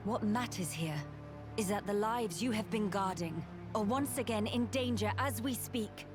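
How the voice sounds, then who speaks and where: An actress playing a composed, stern noblewoman in a video game cutscene, speaking in a formal, measured, authoritative way. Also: medium pitch, music in the background, lightly acted. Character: composed, stern noblewoman urging a veteran to act.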